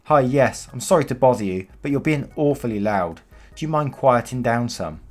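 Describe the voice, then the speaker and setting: A man's voice: medium pitch, expressive, normal speed, a little mellow, soft background music, natural voice. A man voicing a pushy, passive-aggressive mother who makes curt demands.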